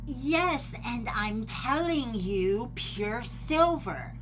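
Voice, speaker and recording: Audio drama, actress as earnest bumbling young male researcher, slow delivery, casual, noisy background. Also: fairly high pitch, expressive, fairly bright tone, full character voice. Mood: insistent. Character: Nate, earnest, forgetful, good-natured young male researcher.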